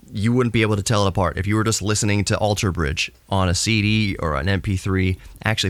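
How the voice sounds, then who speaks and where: Male podcast host, lowish voice, rambling hobbyist explainer, very dry close sound on cassette tape. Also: no echo. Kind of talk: casual technical monologue. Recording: recorded to cassette with tape hiss.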